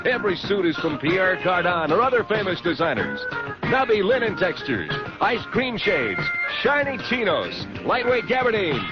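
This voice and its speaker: High-pitched, animated male ad announcer. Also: moderate pace. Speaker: radio commercial announcer. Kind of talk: promotional ad read. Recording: muffled 1970s radio broadcast. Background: loud music.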